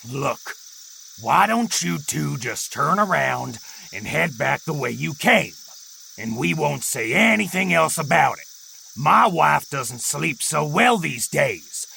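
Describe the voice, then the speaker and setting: Cantankerous old male property owner acted by a man: heavy rasping creak, slow-paced, stern, audio drama, slight background noise. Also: fairly high pitch, big pitch swings, full character voice. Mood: weary, stern. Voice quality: gravelly. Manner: stern, scolding, forceful. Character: gruff, angry old male landowner guarding his property.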